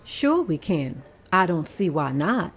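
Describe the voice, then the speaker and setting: A woman at a table read playing an agreeable club member and secretary in a plain, steady voice. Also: lowish voice, slow pace, slightly rough, full character voice.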